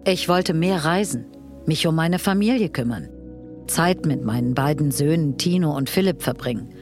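An actress playing a murdered woman in her sixties who tells her own life story in a measured, wistful way. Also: low-pitched, expressive. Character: 61-year-old murdered woman narrating her life from beyond death. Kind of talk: scripted dramatized first-person narration. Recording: clear music bed behind the voice.

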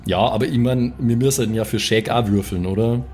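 A man with a mid-pitched voice improvises as a player in a tabletop role-playing game. He describes his actions casually, halting often as he thinks aloud. Soft music runs underneath. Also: fairly even intonation.

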